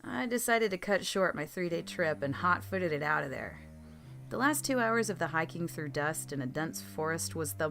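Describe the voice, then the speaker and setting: Low-pitched woman narrating scary stories. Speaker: narrator reading submitted horror stories. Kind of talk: scripted storytelling narration of true scary stories.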